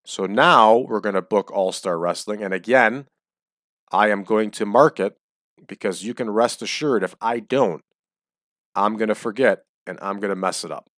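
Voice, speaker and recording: Male let's-play host, low and close, chatty, voice slightly dry. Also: normal speed, fairly bright tone, no echo. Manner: chatty, explains choices as he goes. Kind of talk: casual game commentary. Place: small home room.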